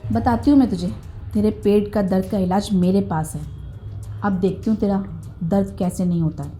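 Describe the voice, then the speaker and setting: A woman's voice: mid-range voice, normal speed, warm tone, soft background music, natural voice. A woman playing a stern, sarcastic female tutor in an acted short drama. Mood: sly, sarcastic.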